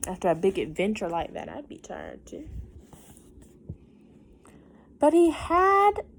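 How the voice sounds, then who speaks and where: Expressive woman teacher reading to children, noisy background. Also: high voice, vocal fry. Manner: expressive, gentle teacherly talk, prompting listeners with questions. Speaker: teacher reading a picture book aloud. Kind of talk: storybook read-aloud with chatty asides to young children.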